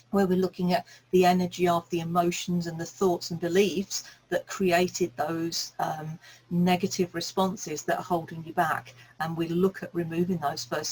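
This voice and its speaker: A woman's voice: on the low side, a little hiss or hum, low-quality recording. Middle-aged woman, light Birmingham accent. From Birmingham, England. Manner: earnest, encouraging, explains at length. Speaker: guest speaking and sales coach. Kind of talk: reflective interview talk.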